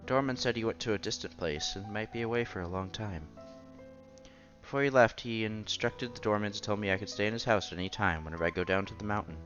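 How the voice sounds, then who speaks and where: A man's voice: on the low side, a bit bright, highs cut off. A man reading a visual novel aloud as narrator and lead, now and then stumbling over words and rereading them. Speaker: visual novel reader, channel host. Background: background music.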